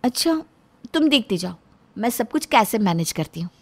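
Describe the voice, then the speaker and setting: Woman acting a whiny, high-voiced young housewife. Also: big pitch swings, normal speed. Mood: stubborn. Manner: whiny, dramatic, insistent. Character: petulant, boastful young housewife, whining and nagging.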